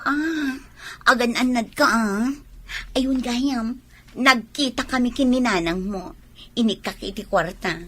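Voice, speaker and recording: A woman voicing a flirtatious mistress with a high voice. She sweet-talks and teases coyly. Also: slow, sharp tone, slightly rough, full character voice.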